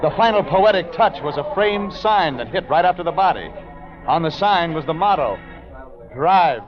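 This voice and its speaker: An American man acting a cool, terse private investigator in deadpan, clipped 1940s radio detective style. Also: on the high side, moderate pace, close to an everyday voice. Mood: urgent narrating. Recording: dull vintage radio recording, music behind.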